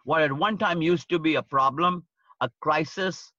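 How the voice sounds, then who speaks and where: A man teaching leadership over a livestream, hammering his points home by saying them again and again. His voice is bright and forceful. The sound is dry and slightly dull. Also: a little high, moderate pace, no room sound. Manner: emphatic, repeats key phrases for stress. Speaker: leadership speaker.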